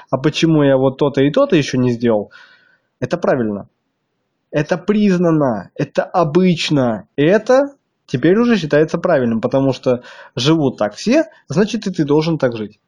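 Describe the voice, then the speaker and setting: A man's voice: on the high side, darkish tone, muffled recording. A man with a slightly stuffy nose delivering a blunt, crude monologue to his viewers, hammering them with rhetorical questions. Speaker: video blogger.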